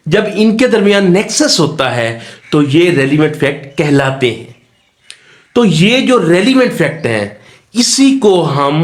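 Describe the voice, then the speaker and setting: A man giving an instructional lecture, didactic and repeating key points as he explains. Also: on the high side. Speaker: law lecturer. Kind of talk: exam-focused lecture mixing Urdu-Hindi and English terms.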